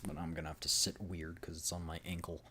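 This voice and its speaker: A man's voice: sits low, flat delivery, fast talker, pushed and bright, slight background noise. A man streaming a game, chatting casually with his viewers. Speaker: streamer reading a game story aloud. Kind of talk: casual livestream chat.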